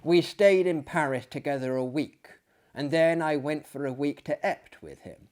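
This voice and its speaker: A man giving a dramatic, solemn narration of gothic fiction, with deliberate pauses and phrases that drop to a hush. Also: a little high. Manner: theatrical, solemn, with hushed drops and deliberate pauses. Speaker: audiobook reader and performer.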